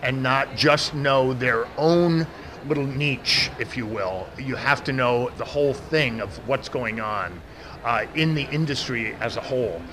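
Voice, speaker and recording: A man's voice: medium pitch, a little slow. Big-voiced American man outdoors. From United States. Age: middle-aged. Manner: says he has a big voice, restarts often. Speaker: interview guest, event professional. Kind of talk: casual interview answers. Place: outdoor event media wall. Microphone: handheld mic held by interviewer. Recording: outdoor traffic noise behind.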